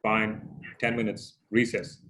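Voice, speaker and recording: A man's voice: lowish voice, level tone, slow, fairly bright tone, roomy sound. A man reading the part of a stern, weary male judge in a table read, with clipped rulings. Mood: curt.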